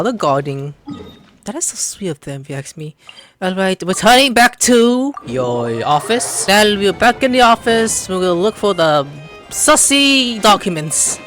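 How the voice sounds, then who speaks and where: Very animated woman doing casual game commentary. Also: mid-range voice. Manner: slangy, muttering to self. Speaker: gaming video host.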